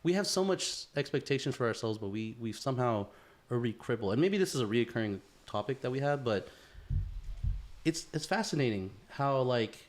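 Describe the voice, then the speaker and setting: A man's voice: medium pitch, animated, fairly quick. A man co-hosting a podcast in casual chat, rambling earnestly through his questions with many restarts. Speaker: podcast co-host.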